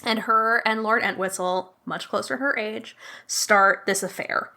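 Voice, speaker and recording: A woman's voice: medium pitch, fairly even intonation, a little slow, pushed and bright. A woman playing a tabletop mystery game as a guest, who thinks aloud with many fillers. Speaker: guest player.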